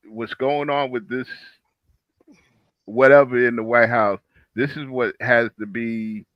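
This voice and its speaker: A man's voice: mid-range voice, dry recording, muffled recording. A man in a heated panel discussion, speaking emphatically and repeating his phrases for emphasis.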